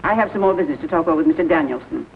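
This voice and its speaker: A woman's voice: sits low, animated, rapid-fire, vocal fry. An actress playing a stern, wealthy businesswoman who speaks curtly and formally in a 1940s film. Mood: cold, dismissive. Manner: curt, haughty, formal. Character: stern, wealthy, unyielding small-town businesswoman. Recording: scratchy, narrow-band 1940 film soundtrack.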